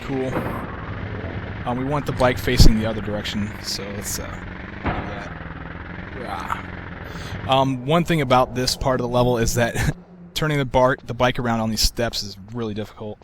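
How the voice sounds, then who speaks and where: A man walks viewers through a video game in a relaxed, conversational way. His voice sits at an ordinary middle pitch and has a soft, soothing quality. Game sounds are clearly audible behind him. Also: moderate pace, noisy background. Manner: relaxed, soft-spoken, self-described soothing voice. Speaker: game walkthrough commentator. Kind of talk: casual gameplay commentary.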